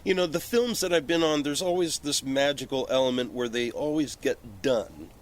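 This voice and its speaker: Man talking casually, halting and reflective. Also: mid-range voice. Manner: halting, reflective, thinking aloud. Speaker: podcast guest, filmmaker. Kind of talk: casual podcast conversation. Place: outdoor porch.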